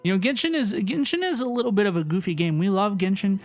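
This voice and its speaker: A woman's voice: mid-range voice, quick pace. Chatty woman livestreaming a game. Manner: chatty, repeats phrases for emphasis. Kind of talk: casual playthrough commentary. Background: soft game music.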